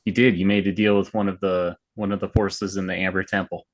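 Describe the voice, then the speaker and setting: A man's voice: sits low, level tone, fairly quick. A man acting as game master, narrating and managing turns in an online tabletop game.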